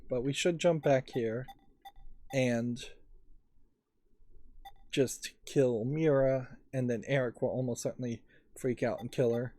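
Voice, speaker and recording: Slow, mellow man musing over a game. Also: medium pitch, no room sound. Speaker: let's play host. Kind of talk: game commentary, thinking aloud.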